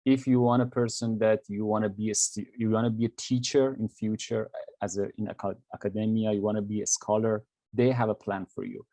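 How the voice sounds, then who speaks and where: A young Iranian-accented man speaks English as a second language, his first tongue being Persian. His voice sits fairly low and sounds soft and mellow, and it is recorded dry and close with no room sound. He searches for words, pausing on fillers and restarting phrases as he goes. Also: little pitch movement, dry recording. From Iran. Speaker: graduate film student. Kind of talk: interview answers.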